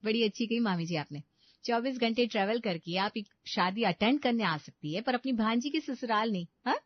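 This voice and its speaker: High-voiced dutiful young daughter-in-law played by a woman. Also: animated, fairly quick, dull audio. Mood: delighted. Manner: polite, reassuring, deferential. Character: devoted, eager-to-please young daughter-in-law, cheerful and dutiful.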